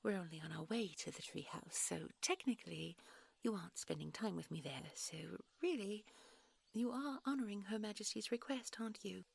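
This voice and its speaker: Woman acting as a breathy, genteel fairy princess. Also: medium pitch, normal speed. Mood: gently chatty. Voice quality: breathy, soft. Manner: genteel, coy, old-fashioned polite phrasing. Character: gentle, chatty, secretive fairy princess, sweetly evasive. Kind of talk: intimate audio roleplay acting, one side of a dialogue.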